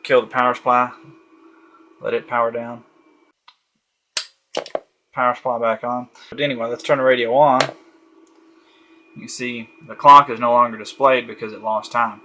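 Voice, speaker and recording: Bright-voiced man casually demoing a radio. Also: mid-range voice, level tone, moderate pace, pauses often, slight background noise, low-quality recording. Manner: easygoing, thinking aloud with frequent fillers. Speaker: radio reviewer. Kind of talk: casual product walkthrough. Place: home desk room at night, indoors.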